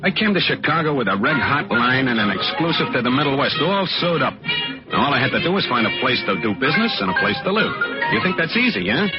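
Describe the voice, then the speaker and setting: A man's voice: mid-range voice, big pitch swings, quick pace, loud music behind the voice, lightly acted. An actor playing a jittery, slangy American salesman telling his story in a 1940s radio noir drama. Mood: wry, sour. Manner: agitated, slangy, tough-talking. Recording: dull vintage radio recording.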